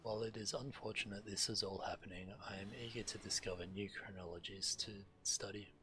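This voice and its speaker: A man with a low voice and a bright, forceful edge reads fantasy quest text aloud. His delivery is measured, as a game streamer. There is faint noise behind him. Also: steady tone.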